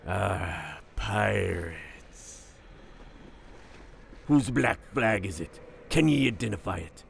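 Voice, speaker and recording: A man in an audio drama playing a gruff, commanding sea captain with a raspy, measured delivery. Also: deep voice, a little hiss or hum, full character voice. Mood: wary. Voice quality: raspy, gravelly. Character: gruff, wary old-fashioned sea captain of a lumber ship. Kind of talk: cinematic audio roleplay acting.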